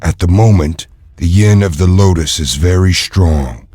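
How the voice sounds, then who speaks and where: Enigmatic calm monk warrior: deep, deliberate, dry sound, formal phrasing for a game cutscene. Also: male voice, breathy edge, dry recording, faint music behind. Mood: serene, cryptic. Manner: measured, formal. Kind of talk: dramatic video game acting.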